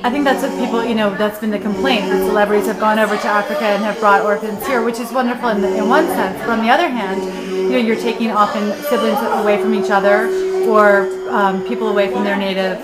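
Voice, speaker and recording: A woman's voice: mid-range voice, steady tone, fast talker. A woman magazine editor interviewing a guest at a party, engaged and affirming. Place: party with music. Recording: echoey room, loud music behind.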